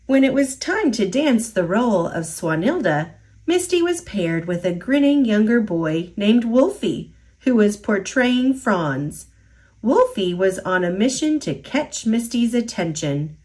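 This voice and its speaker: Woman storyteller for kids, slow and deliberate, lively rising and falling voice. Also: medium pitch. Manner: slow, expressive, sing-song storytelling. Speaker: storytime reader. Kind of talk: children's picture book read-aloud.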